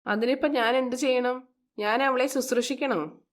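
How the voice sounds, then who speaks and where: A woman's voice: sits high, steady tone, normal speed, lightly acted. A woman voicing a spiteful, scheming middle-aged stepmother in a moral story, scolding and contemptuous. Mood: irritated.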